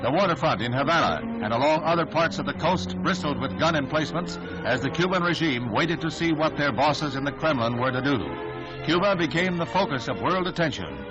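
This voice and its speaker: An American man narrates a 1960s newsreel in a formal, dramatic style, his voice pitched a little high. The soundtrack is dull and vintage, with prominent music behind him. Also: animated, brisk. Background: loud orchestral newsreel music.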